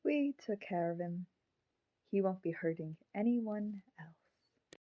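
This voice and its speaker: A woman voicing the grown-up ghost of a murdered child in a puppet, speaking haltingly and solemnly in an audio drama. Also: mid-range voice, animated, warm tone, no room sound. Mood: bitter, grieving.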